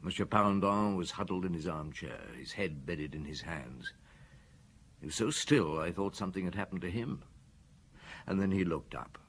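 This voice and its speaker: An actor playing a weary, middle-aged male chief inspector in a radio drama, speaking in a measured, understated, reflective way with a husky voice. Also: low-pitched, expressive, slight background noise. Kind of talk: radio drama narration and dialogue.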